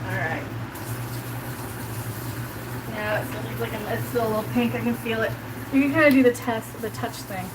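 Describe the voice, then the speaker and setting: A chatty woman in her forties with a South Kansas accent, talking to her stream as she cooks. Her voice sits a little high, and she rambles loosely, filling her sentences with "like." A pan sizzles clearly behind her. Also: brisk, heavy background noise. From Colorado. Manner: chatty, rambling, heavy use of "like", answers chat aloud. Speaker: livestreamer. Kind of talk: casual live-stream chat while cooking. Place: home kitchen.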